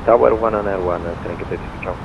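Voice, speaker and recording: A man's voice: sits low, very fast, vocal fry. A man speaking as a pilot, reading back instructions over the radio. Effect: radio transmission. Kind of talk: radio readbacks. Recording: dull radio with loud cockpit noise.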